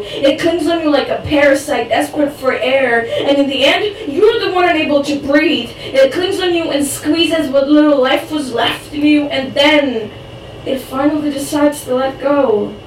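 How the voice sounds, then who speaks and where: A young woman from Croatia whose first language is Croatian, reading her spoken word poetry at an open mic in an earnest, rapid delivery. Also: high-pitched. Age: young adult. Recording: echoey room, distant mic, faint noise.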